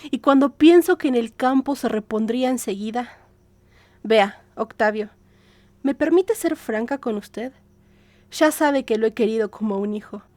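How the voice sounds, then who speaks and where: A woman voicing an aging, sickly mother who flatters and pleads in an audiobook drama, with ingratiating, dramatic exclamations. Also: on the high side, no echo, close to an everyday voice. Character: aging, ailing, scheming mother, fawning and wheedling.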